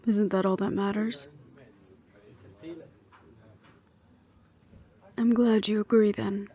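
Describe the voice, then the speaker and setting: A woman playing a wry, affectionate ex-hunter in an intimate audio roleplay, speaking softly and teasingly, with breathy asides. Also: medium pitch, flat delivery, moderate pace, close to an everyday voice. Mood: tender, reassuring. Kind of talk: intimate one-sided audio roleplay acting.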